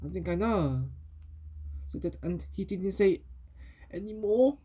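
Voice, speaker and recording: A woman reading a children's story aloud in a halting way, repeating and correcting words as she goes. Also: mid-range voice, lively intonation, dark tone, husky.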